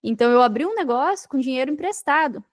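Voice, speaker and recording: A woman's voice: high-pitched, expressive, fast talker, no echo. A woman with a Brazilian Portuguese accent talking as a podcast guest, telling her story emphatically with repeated phrases and rhetorical questions. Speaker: podcast guest, digital marketing entrepreneur. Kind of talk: conversational interview storytelling.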